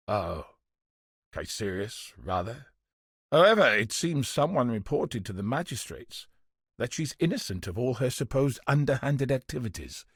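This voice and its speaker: An actor playing a weary, bitter but steadfast guard commander in a video game, speaking gravely and earnestly with a husky, raspy voice. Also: male voice, medium pitch, fairly bright tone, no echo, clean studio sound, full character voice. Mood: resolute, wry. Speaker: game character voice. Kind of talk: dramatic video game voice acting.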